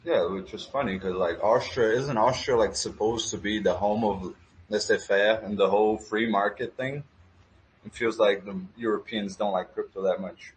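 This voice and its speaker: A man's voice: on the low side, fairly even intonation, normal speed, slight background noise, poor audio. A man hosting a casual live audio chat, laid-back and joking with slang. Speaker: host.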